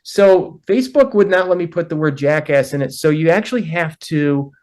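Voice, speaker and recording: A man's voice: lively intonation, normal speed, darkish tone. A man in his fifties, a podcast guest, chatting casually and rambling with self-deprecating asides. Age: 50s. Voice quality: deep voice. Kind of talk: casual chat.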